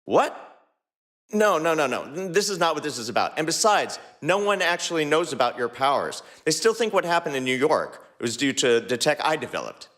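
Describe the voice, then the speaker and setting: A man's voice: very animated, a little fast, fairly bright tone. A man reading the dialogue of a fan fiction aloud, shifting his voice between characters. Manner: voices several characters by shifting pitch and pace. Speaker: podcast co-host reading fanfiction.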